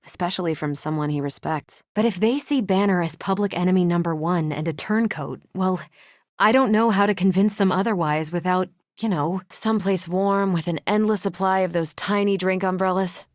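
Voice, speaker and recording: An actress playing a confident, wry superhero and ex-military pilot in a video game, speaking with dry, casual self-assurance. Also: female voice, lowish voice, moderate pace, dry recording, natural voice. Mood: matter-of-fact, wry. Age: adult. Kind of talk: video game voice acting, conversational dialogue.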